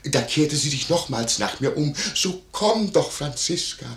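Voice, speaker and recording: An actor playing a nosy, greedy, fawning innkeeper with an ingratiating, wheedling manner, in a classical comedy radio play. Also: male voice, a little high, animated, measured pace, a bit bright. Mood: confiding. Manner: ingratiating, wheedling, over-protesting. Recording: roomy vintage 1950s radio recording.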